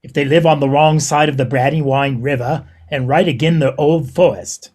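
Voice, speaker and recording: A woman voicing a wary old male hobbit neighbor in a storybook, speaking slowly and ominously. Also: deep voice, steady tone, a little mellow, voice pushed down. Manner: slow, foreboding.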